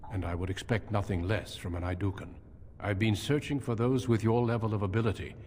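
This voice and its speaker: An actor playing a grave, courteous veteran warrior commander in a fantasy game, formal and measured. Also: male voice, on the low side, slight background noise, lightly acted. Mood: solemn, formal. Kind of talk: fantasy video game acting. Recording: long reverb, music behind.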